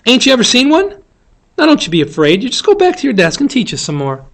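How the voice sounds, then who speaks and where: A man's voice: extremely high pitch, wildly swooping pitch, quick pace, a bit bright, voice pushed up, heavily acted. A man voicing an earnest, polite little schoolboy from a storybook, eager and folksy. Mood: gentle, reassuring.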